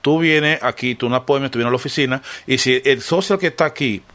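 A man's voice: medium pitch, fairly quick, a bit bright, natural voice. A man with a Caribbean Spanish accent playing a stern, accusing, streetwise casino official on a prank phone call, insistently interrupting and repeating himself. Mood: firm, placating. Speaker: radio show prank caller.

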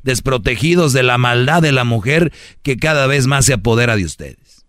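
A man's voice: mid-range voice, expressive, normal speed, a bit bright, dry recording, clean studio sound. A man hosting a radio show, teasing callers and lecturing in a mock-preachy way, dragging out words for emphasis. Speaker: radio host.

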